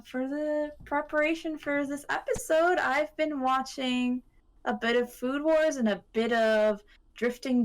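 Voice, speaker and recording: Woman, casual podcast panelist. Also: medium pitch.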